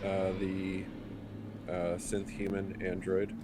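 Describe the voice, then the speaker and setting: A man's voice: sits low, completely flat delivery, takes it slowly, music in the background. A man chatting casually and a little hesitantly as a player on a tabletop roleplaying video call. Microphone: computer video call. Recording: noisy home call audio.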